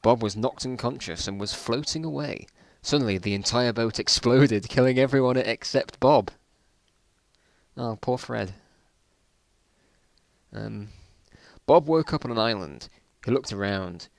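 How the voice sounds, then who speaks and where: A man's voice: medium pitch, a little slow, creaky, dry recording. A man reading his own old stories aloud in a casual dramatic style, with self-mocking, bemused asides.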